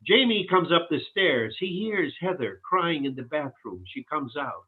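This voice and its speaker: A man reading stage directions at a sitcom table read, scripted narration in a husky voice over a thin online connection. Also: fairly high pitch, animated, unhurried. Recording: thin, phone-like online call audio.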